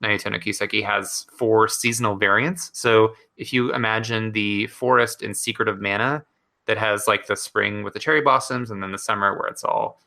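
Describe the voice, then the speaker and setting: A man's voice: lowish voice, creaky, sharp tone. A man co-hosting a gaming podcast, talking casually and enthusiastically with many fillers. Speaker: podcast co-host. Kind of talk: casual chat.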